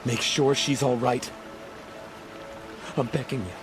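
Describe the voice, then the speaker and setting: A man's voice: medium pitch, steady tone, slow pace, music in the background. An actor playing a bitter, desperate widowed father in a video game, talking in a pleading way. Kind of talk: video game dialogue acting.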